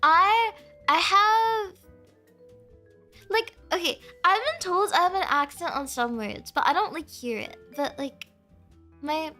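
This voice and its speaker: A young woman with a high, bright voice and a breezy Valley girl accent, her first language Spanish. She chats with her stream in a bubbly, giggly way, leaning hard on "like". Soft music plays faintly behind her. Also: slow pace, pauses often. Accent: American Valley girl. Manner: chatty, filler-heavy "like", giggly, bubbly. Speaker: streamer reading chat. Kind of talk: casual stream chat.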